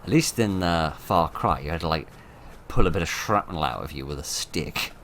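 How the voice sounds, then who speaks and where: A man commentating his horror game playthrough in a chatty, wry way, with a voice that is getting hoarse. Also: a bass voice, expressive, moderate pace, fairly bright tone, a little hiss or hum. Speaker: gaming commentator. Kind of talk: let's play commentary.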